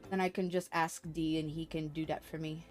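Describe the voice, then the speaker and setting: A woman's voice: deep voice, flat delivery, fairly quick, over music. A woman giving casual, playful live commentary as she streams a game, prone to giggling.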